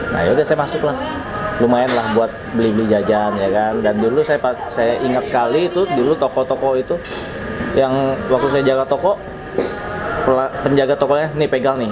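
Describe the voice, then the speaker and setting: A man in his thirties from Sumatra, a branch manager telling his career story in a casual interview, relaxed and chuckling often with lots of fillers. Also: medium pitch, moderate pace, loud music behind the voice, low-quality recording. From Sumatra, Indonesia. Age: 30s.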